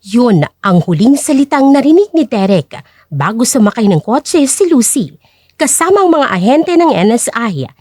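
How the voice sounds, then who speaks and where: A woman storyteller narrates a scripted tale with drama. Her voice is high and lively in pitch, captured very dry and close. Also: no room sound. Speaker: storyteller, host.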